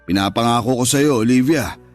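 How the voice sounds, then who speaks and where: A man's voice: mid-range voice, a little fast, soft background music, natural voice. A man with a Filipino accent playing a wealthy, jealous, controlling businessman lover in a Tagalog radio drama. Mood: suspicious, threatening. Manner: controlling, alternately reassuring and threatening.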